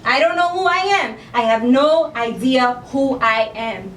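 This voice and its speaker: A woman acting a theatrical monologue as someone wounded and defiant, confronting her abuser with emphatic, deliberate phrasing and long pauses. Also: a bit bright. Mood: hurt, accusing. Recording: distant echoey room, faint rumble.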